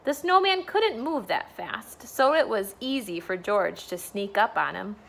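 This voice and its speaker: A woman's voice: mid-range voice, animated, measured pace, gravelly, a bit bright, rough recording. A woman, a school staff member, reading a bedtime storybook aloud for children.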